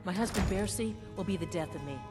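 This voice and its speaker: A woman acts a worried wife from a fantasy game, fretting in an exasperated, complaining tone. Music plays clearly behind her. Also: mid-range voice, quick pace, natural voice. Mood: exasperated worry. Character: fretful townswoman worried about her husband. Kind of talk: video game character acting.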